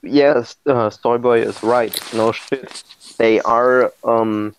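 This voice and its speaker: A man hosting a casual group voice chat, talking slowly and haltingly, with many restarts and fillers. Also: medium pitch, fairly even intonation, slight background noise. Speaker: podcast host. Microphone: computer mic on a voice call. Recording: dull lo-fi voice-chat audio.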